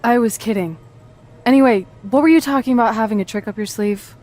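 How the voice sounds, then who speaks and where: A woman's voice: on the high side, fairly quick, darkish tone, close to an everyday voice. A woman playing a confident, dry-witted pro wrestler with a sardonic, casual manner in a video game scene. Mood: teasing.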